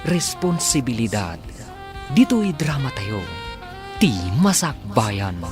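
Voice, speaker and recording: Ilocano guy announcing a radio drama, very animated, music playing under him. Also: mid-range voice, slow pace. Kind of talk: dramatic scripted radio narration.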